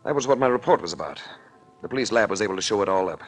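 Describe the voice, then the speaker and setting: Casting: male actor as hard-boiled American investigator, low voice, wry and unflappable, vintage radio drama style. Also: lively intonation, racing, faint music behind, lightly acted. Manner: unflappable, dryly sardonic. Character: cool, wry male investigator in crime drama, narrating his case. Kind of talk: 1940s radio detective acting. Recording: vintage 1940s radio recording.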